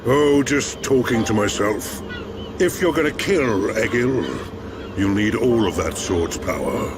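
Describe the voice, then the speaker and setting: A man acting a kindly, ancient machine-race village chief in a video game, with a measured, theatrical storytelling manner. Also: lowish voice, expressive, noisy background. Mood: calm, welcoming. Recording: game audio with music bed.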